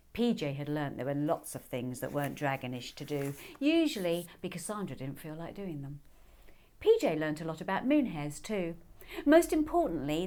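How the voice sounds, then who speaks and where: A woman reading her own children's book as an expressive storybook narrator. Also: fairly low pitch.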